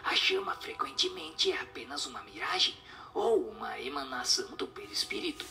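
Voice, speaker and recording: A man plays a solemn spirit in an audio drama, instructing in a breathy whisper. The delivery swoops in pitch over a faint music bed, staying didactic throughout. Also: huge pitch swings, bright, forceful tone, full character voice. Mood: solemn, instructive. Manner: didactic, measured explanations.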